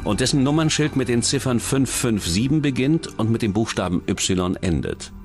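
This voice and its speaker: A man's voice: medium pitch, very animated, normal speed, soft background music. A man reading scripted true-crime documentary narration.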